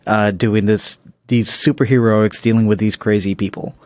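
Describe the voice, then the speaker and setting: A man's voice: fairly low pitch, steady tone, moderate pace, darkish tone, dry recording. A man co-hosting a podcast, talking casually and conversationally about comic books. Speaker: podcast co-host. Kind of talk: casual comic book discussion.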